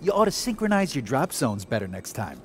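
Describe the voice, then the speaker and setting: A man's voice: mid-range voice, very animated, fairly quick, slight background noise, lightly acted. An actor playing a blunt, sarcastic male colonist scout.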